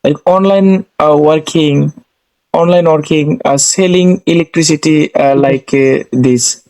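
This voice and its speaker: A man's voice: fairly high pitch, measured pace, darkish tone, poor audio. A man with an Indian accent leading a casual English practice conversation as a learner, hesitant and often repeating his phrases.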